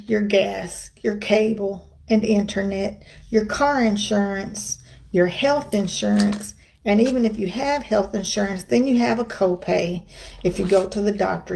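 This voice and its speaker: A middle-aged American woman speaks to her followers in a chatty, emphatic way, drifting into asides. Her voice has a gravelly, dark tone throughout. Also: mid-range voice, low-quality recording. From United States. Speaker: vlogger. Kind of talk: casual vlog monologue.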